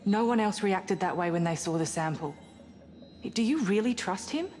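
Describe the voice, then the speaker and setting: An intense, suspicious woman scientist played by an actress in a sci-fi game. Her voice sits at a middle pitch, earnest and conspiratorial. It rings in a long reverb, with music underneath. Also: animated, moderate pace, a little mellow, lightly acted. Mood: suspicious, urgent. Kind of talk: cinematic video game acting.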